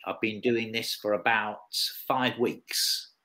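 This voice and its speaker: A man's voice: fairly low pitch, steady tone, takes it slowly, bright, forceful tone. A man in his fifties with an English accent, teaching one-to-one conversation and repeating things to check with a learner. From England. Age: 50s. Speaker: English tutor. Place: online video lesson call.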